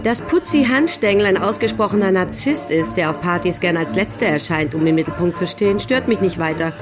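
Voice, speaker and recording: A woman's voice: fairly low pitch, expressive, brisk, over music, natural voice. A woman playing a worldly, confident upper-class English elder sister in an audio documentary drama. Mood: admiring, poised.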